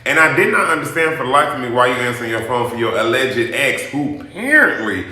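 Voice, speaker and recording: A man telling a personal story to his viewers in a sassy, dramatic, animated vlogging style. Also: mid-range voice, a little fast. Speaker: YouTube vlogger. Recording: very echoey, roomy sound.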